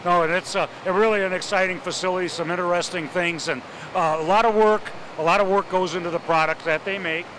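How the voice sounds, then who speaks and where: A man serving as city mayor, speaking in a halting way with many uhs and restarts. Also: high-pitched, steady tone, a bit bright, a little hiss or hum, rough recording. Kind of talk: casual interview talk.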